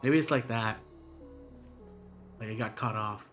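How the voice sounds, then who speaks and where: A man's voice: lowish voice, unhurried, a bit bright. A man streaming a game with casual, slangy, rambling commentary to his chat. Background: game music.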